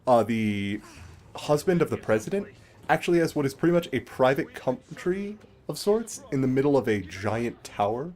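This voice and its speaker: A man's voice: mid-range voice, very animated, normal speed, a little mellow. A man giving casual, explanatory game commentary as a let's play host, swearing casually now and then.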